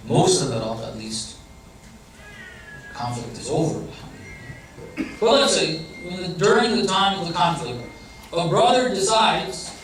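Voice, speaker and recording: A man gives a religious lecture in a conversational way, often asking his listeners questions. His voice sits a little high. It is heard from some distance across a hall with a heavy echo and a faint noise underneath. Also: a bit bright. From Iranian family background. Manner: conversational, asks the audience questions. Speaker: speaker giving a religious lecture. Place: large hall or center.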